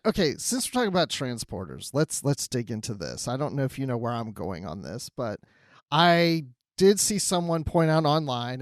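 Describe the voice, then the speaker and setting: A man's voice: fairly high pitch, no echo, clean studio sound. A man co-hosting a casual review podcast, talking informally with jokey reactions. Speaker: podcast co-host. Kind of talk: casual TV episode review chat.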